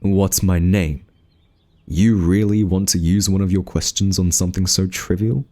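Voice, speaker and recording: A man's voice: low-pitched, fairly even intonation, moderate pace, dark tone, close to an everyday voice. A man playing an exasperated, protective guardian angel in an ASMR roleplay, with a scolding, flustered, huffy manner. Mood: grudging, prim. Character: exasperated, fussy, protective male guardian angel, rule-bound and tsundere. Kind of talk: ASMR roleplay audio drama addressed to the listener.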